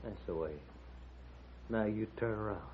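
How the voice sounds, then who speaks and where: An actor playing a vengeful, bullying American gunslinger villain, menacing and goading, in a 1950s Western radio drama. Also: male voice, lowish voice, moderate pace, close to an everyday voice. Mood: menacing gloating. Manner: menacing, goading, folksy drawled grammar. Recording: noisy, phone-like old radio recording.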